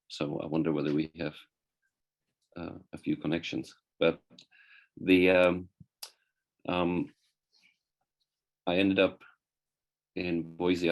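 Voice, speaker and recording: Male guest presenter from the Czech Republic, gravelly and flat in intonation, halting delivery, informal online conference talk. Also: very deep voice. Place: online video meeting.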